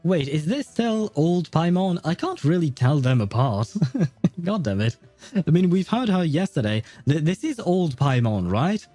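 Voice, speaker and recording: A man's voice: fairly high pitch, big pitch swings, a little slow, dark tone, dry recording, faint music behind. A man hosting a gaming livestream, talking casually and thinking aloud in a rambling way. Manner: rambling, thinking aloud, chuckles at own jokes. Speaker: livestream host.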